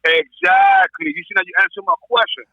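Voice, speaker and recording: A man on a podcast panel with a raspy voice, arguing casually about music in an animated, slangy way. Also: very high-pitched, fairly quick, narrow, tinny audio. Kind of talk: casual debate about hip hop.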